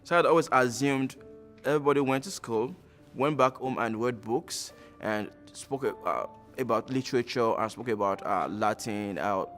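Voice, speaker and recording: A Nigerian man in his thirties, speaking reflectively with frequent pauses in a documentary interview. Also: mid-range voice, fairly bright tone, faint music behind. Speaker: alumnus interviewee.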